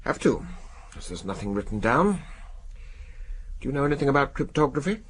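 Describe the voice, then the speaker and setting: An English actor in a radio drama playing a brusque older spy chief, clipped, gruff and commanding. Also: male voice, medium pitch, big pitch swings, brisk, slight background noise, natural voice. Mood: brisk, confidential.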